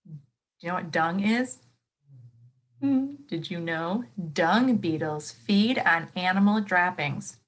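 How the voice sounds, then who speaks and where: A woman's voice: mid-range voice, a bit bright. A woman teacher reading a nonfiction book aloud to children, expressively, with exclamations, explanatory asides and questions to her young listeners.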